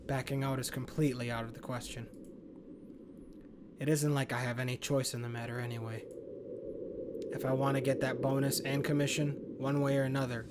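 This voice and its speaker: A man reading a horror game's story narration aloud as a gaming host. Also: mid-range voice. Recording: clear background noise.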